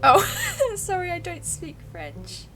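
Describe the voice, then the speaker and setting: A woman livestreamer giving casual, expressive, giggly game commentary and reading the game's text aloud. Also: extremely high pitch, takes it slowly, soft background music. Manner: giggly, expressive, reacts out loud.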